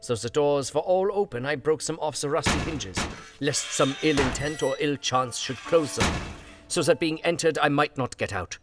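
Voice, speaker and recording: A man's voice: a little high, close to an everyday voice. Man acting an earnest aging professor, solemn and impassioned. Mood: grave, resolute. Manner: solemn, impassioned, halting old-fashioned English. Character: earnest aging Dutch professor and vampire hunter, God-fearing. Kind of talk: dramatic diary narration in an audio drama. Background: guitar music.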